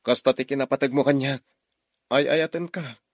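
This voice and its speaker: An actor with a Filipino accent playing a devoted, determined young lover in a radio drama, speaking earnestly. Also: male voice, mid-range voice, fairly even intonation, moderate pace, no room sound, close to an everyday voice. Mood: reassuring.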